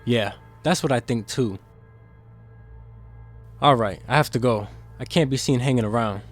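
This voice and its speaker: A man's voice: medium pitch, moderate pace, faint music behind, lightly acted. A man playing a guarded, conscience-stricken demon in an audio drama, earnest and defensive in manner. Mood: discreet, earnest. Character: guarded, conscience-stricken demon insider smuggling secrets to captive.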